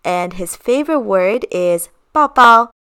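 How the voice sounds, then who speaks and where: A woman's voice: mid-range voice, lively intonation, a little slow, pushed and bright, clear, resonant tone. A woman in her thirties from China, co-hosting a podcast in a casual, upbeat, partly scripted chat. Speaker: podcast co-host.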